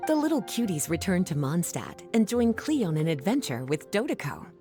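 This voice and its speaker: An actress voicing a kindly, poised adult woman in a video game, coaxing and gently teasing in an indulgent, unhurried manner. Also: lowish voice, very animated, soft background music. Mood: storytelling. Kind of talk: animated video game voice acting.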